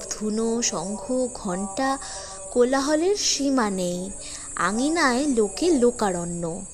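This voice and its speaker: A woman's voice: on the high side, darkish tone. A woman narrating a scripted children's folk tale as a storyteller for an audiobook.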